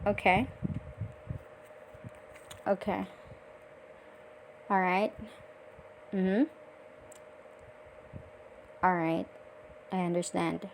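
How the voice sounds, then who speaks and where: A woman from the Philippines with a Filipino accent, speaking politely and deliberately as a virtual assistant on a scripted sales call. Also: fairly low pitch, fairly even intonation, creaky, slight background noise, rough recording. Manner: polite, deliberate, frequent acknowledging murmurs.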